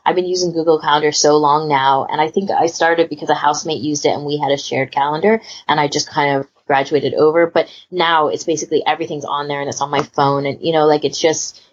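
A woman's voice: deep voice, husky. A woman chatting casually as a podcast co-host, in an emphatic, rambling way. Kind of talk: casual chat. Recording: dull lo-fi voice recording.

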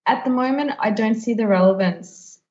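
A woman's voice: fairly high pitch, normal speed, a little mellow. A woman talking frankly and emphatically as a podcast host in a casual chat, slightly muffled.

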